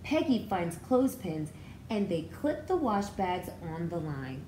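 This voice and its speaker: A woman teacher reading a storybook aloud to young children, expressively, pausing to explain it in simple, repeated words. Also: lowish voice, sing-song, mellow, a little hiss or hum.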